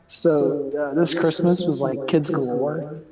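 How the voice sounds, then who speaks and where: A man with a raspy voice from a cold, chatting loosely and laughing during a game raid. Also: on the high side, fairly even intonation, takes it slowly, roomy sound, crowd noise, rough recording. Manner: chatty, rambling, laughs often. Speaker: raid member on voice chat. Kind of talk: casual gaming voice chat.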